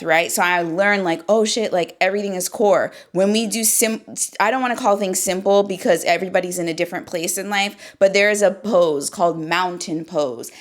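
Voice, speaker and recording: A woman in her thirties, a yoga teacher, talking casually and candidly to camera from a seat indoors, with loose phrasing and mild swearing. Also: fairly low pitch. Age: 30s. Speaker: yoga teacher and fitness vlogger. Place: seated indoors.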